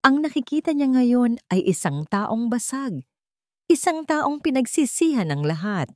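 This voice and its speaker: A woman's voice: fairly high pitch, very animated, unhurried, dark tone, dry recording, clean studio sound. A woman reading a scripted romance story in a dramatic, sentimental storytelling manner. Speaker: story narrator.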